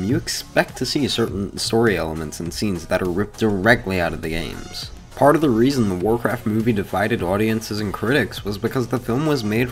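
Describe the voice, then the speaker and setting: A man hosting a video essay, reading scripted commentary in an informal, opinionated way. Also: lowish voice.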